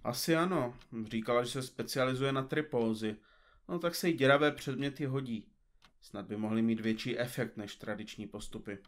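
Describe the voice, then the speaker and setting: A man's voice: mid-range voice, natural voice. A man playing a pompous, absent-minded detective in an audio comedy drama, speaking in florid, formal, bookish phrases. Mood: pompous, fussy.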